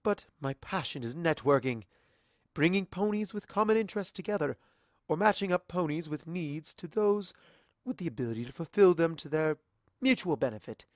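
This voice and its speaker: A performer voicing an elegant, gracious unicorn mare socialite in a storybook reading, with refined, effusive, drawn-out phrasing. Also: mid-range voice, lively intonation, no room sound, studio quality, pitched above the performer's own voice, close to an everyday voice. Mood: chatty, poised. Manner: effusive, genteel, drawn-out words.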